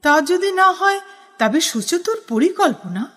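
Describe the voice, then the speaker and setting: A woman's voice: very high-pitched, sing-song, measured pace, a bit bright, full character voice. An actress playing a protective older colleague who firmly warns and pleads with a younger woman in a radio drama. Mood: warning. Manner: firm, pleading, warning.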